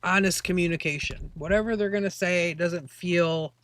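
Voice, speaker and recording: A woman's voice: on the low side, moderate pace. Lady reading tarot live, rambly and casual, lots of ums, hiss behind her. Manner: rambling, chatty, many fillers. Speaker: tarot reader.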